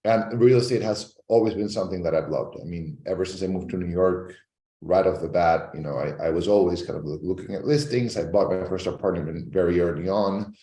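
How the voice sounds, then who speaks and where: A man from Venezuela, a real estate agent telling his story in an interview. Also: low voice. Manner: fast, animated storytelling. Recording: echoey room.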